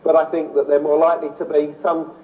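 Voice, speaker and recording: A man's voice: a little high, fast talker. A man from London with a London accent, giving an illustrated lecture in an enthusiastic manner. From London, England. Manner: enthusiastic, easily excited. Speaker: lecturer on ancient sites. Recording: voice distant from the mic.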